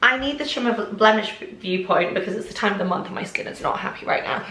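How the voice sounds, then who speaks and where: A woman's voice: medium pitch, fast talker, a bit bright. A young woman in her twenties from England, chatting casually to camera in a home vlog, bubbly and rambling, a bit snuffly and congested. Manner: bubbly, rambling, lots of "like" and "oh my gosh". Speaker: vlogger. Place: home kitchen, indoors. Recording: echoey room.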